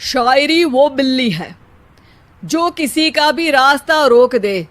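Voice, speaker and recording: A woman's voice: sits high, lively intonation, slow pace, noisy background. A woman voicing a lazy, self-pitying young husband who declaims silly rhyming couplets in a comic cartoon story. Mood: theatrical, wounded. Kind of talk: comic animated Hindi moral story.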